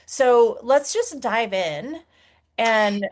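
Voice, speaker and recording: Enthusiastic woman host, higher voice. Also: animated, drawn-out words. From United States. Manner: enthusiastic, gushing. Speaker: spiritual podcast host. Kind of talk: conversational interview.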